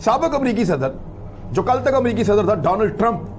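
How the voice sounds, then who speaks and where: Male news commentator, high voice, emphatic repetition, conversational delivery to viewers, clear background noise. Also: very animated, a little fast. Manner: emphatic, repeats phrases and poses rhetorical questions.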